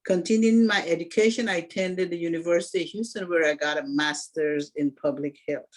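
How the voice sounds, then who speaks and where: A woman's voice: lowish voice, fairly even intonation, a little fast. Woman in her sixties giving a campaign speech. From Guyana. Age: 60s. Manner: earnest, organized, occasional restarts. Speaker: candidate for alumni association president.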